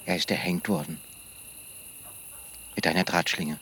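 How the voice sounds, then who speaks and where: A man's voice: low-pitched, level tone, a little slow, sharp tone, a little hiss or hum. An actor playing a grieving, withdrawn man in a radio drama, terse and subdued. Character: grieving, withdrawn man wanting to be left alone. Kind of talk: quiet radio drama dialogue.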